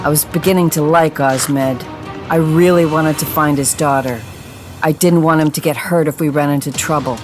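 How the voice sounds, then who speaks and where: An actress playing a tough, protective police detective in a hard-boiled audio drama. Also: female voice, low voice, fairly even intonation, normal speed, soft background music, natural voice. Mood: brooding.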